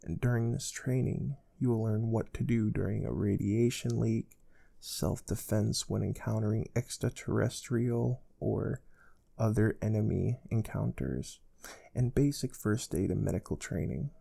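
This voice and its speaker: A man playing a calm, soft-spoken space research team interviewer in an ASMR role play, explaining things gently and without hurry. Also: fairly low pitch, unhurried, creaky, exaggerated voice. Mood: calm, businesslike. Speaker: ASMR performer.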